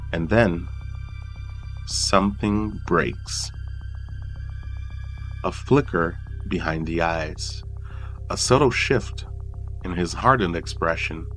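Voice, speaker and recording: A man with a fairly low voice narrates a true crime documentary. His delivery is solemn and suspenseful, moving up and down expressively and leaning on deliberate pauses. Soft music plays behind him. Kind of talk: scripted dramatic documentary narration. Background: background music.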